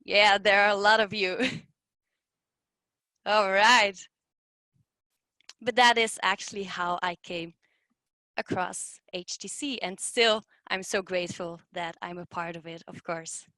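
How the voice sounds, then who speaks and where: A woman's voice: medium pitch, fairly even intonation, slow, long pauses, pushed and bright, no echo. A Belgian woman whose first language is Dutch, speaking English with a Dutch accent, earnestly telling her story as a community manager. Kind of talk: personal story and class instructions.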